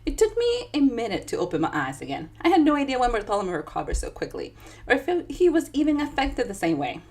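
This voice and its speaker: A woman's voice: on the high side, fast. A woman with a Dominican accent, an author chatting with viewers and then reading her own book aloud in a live session. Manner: chatty and animated, talking straight to viewers.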